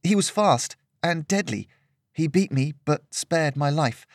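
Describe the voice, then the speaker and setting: A man's voice: fairly high pitch, expressive, a little slow, gravelly, no room sound, clean studio sound, voice pushed up. Man doing an earnest young heroine. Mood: earnest pleading. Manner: earnest, pleading. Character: young female adventurer, earnest, eager.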